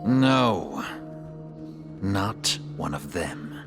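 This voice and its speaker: A slow, ancient dark elf king. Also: sits low, very animated, over music, exaggerated voice. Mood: sly, teasing. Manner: theatrical, teasing, measured. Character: ancient sorrowful King of the Dark Elves, sly and bitter. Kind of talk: video game cutscene acting.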